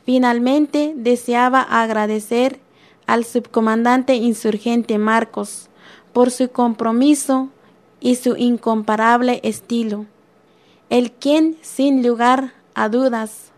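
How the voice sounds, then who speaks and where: A woman reading a listener's letter aloud on the radio, slow and earnest. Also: on the high side.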